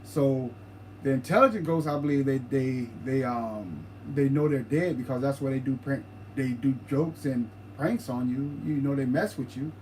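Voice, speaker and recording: A man co-hosting a paranormal livestream, talking casually, halting and repeating words as he explains his ideas. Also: medium pitch, a little mellow, slight background noise, low-quality recording.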